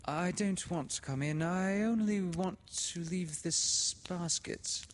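A man's voice: high-pitched, slow pace, a little hiss or hum, pitched above the performer's own voice. A man voicing a nervous, well-bred young girl who stammers and pleads in a read-aloud story. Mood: flustered. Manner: flustered and stammering.

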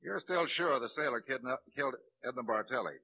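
An actor playing a shrewd police lieutenant in a crime drama, a probing interrogator who can sound falsely kindly. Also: male voice, medium pitch, lively intonation, brisk, sharp tone, husky, full character voice, poor audio. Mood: businesslike. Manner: probing, soothing then blunt. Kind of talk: dramatic film-noir crime acting.

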